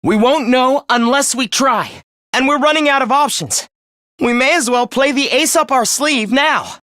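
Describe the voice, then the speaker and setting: A man's voice: very high-pitched, measured pace, sharp tone, no echo, clean studio sound, heavily acted. A man playing a young video game fighter who is eerily polite and fervent, speaking in theatrical, formal phrases of morbid devotion. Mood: urgent, encouraging. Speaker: voice actor. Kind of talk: dramatic video game character acting.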